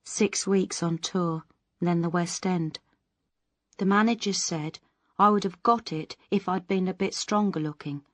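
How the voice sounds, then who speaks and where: A woman voicing a thin, sad young actress with a quietly catty edge. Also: fairly low pitch, a little slow, dry recording, voice pushed up, close to an everyday voice. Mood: mournful. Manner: mournful, then quietly catty.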